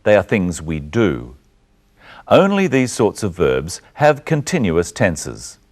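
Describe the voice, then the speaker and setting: A man presenting a scripted English lesson in a deliberate, instructional manner. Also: sits low, wildly swooping pitch. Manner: didactic, deliberate explaining.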